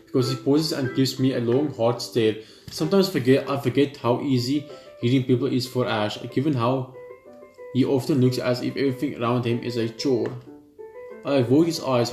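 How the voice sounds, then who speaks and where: A man's voice: mid-range voice, darkish tone. A man reading a visual novel's text aloud in a let's play, stumbling and rereading now and then. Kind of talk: let's play read-aloud narration with asides. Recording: roomy echo with game music behind. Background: video game music.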